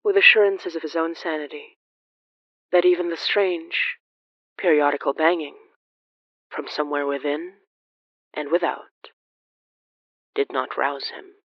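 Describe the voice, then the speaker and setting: A narrator reading scripted horror fiction in a dramatic, deliberate storytelling manner. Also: mid-range voice, steady tone, no room sound, dull audio.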